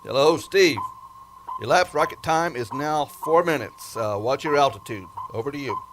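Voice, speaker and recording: A man's voice: mid-range voice, moderate pace, background noise, natural voice. An actor playing a worried, weary male flight control chief in an old-time radio drama, urgent and stammering. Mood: businesslike, tense.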